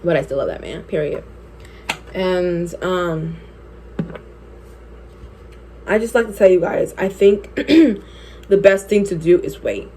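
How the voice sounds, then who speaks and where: A woman's voice: on the low side, unhurried, warm tone, slight background noise, poor audio. A woman in her twenties telling a personal story while doing her makeup, chatty and candid, laughing often. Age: 20s. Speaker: beauty vlogger.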